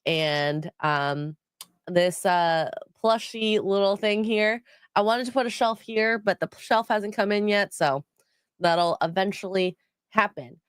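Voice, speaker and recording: A woman's voice: mid-range voice, a little slow, clear, resonant tone, no room sound. Woman with a cold hosting a stream, nasal, lots of ums, dry close sound. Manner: rambling with many ums and uhs. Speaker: stream co-host. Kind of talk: casual livestream chat, reading donations.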